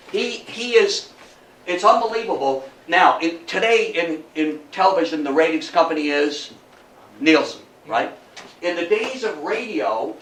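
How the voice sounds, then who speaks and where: A man's voice: high voice, a bit bright. An older man giving an informal history talk to an audience, folksy and chatty, putting rhetorical questions to his listeners. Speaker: lecturer. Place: indoor room before an audience. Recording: roomy, distant mic, faint noise.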